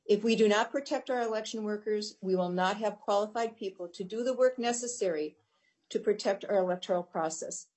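A woman's voice: medium pitch, brisk, darkish tone. American woman delivering formal prepared testimony. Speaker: poll worker testifying at a hearing.

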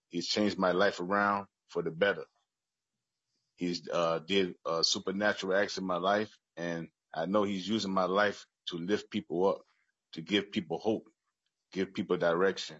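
A middle-aged man from Chicago with an American accent gives earnest personal testimony in a halting way, repeating words as he goes. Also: low-pitched, fairly bright tone, breathy edge. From Chicago, United States. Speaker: public witness at a legislative hearing. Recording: muffled, echoey video call.

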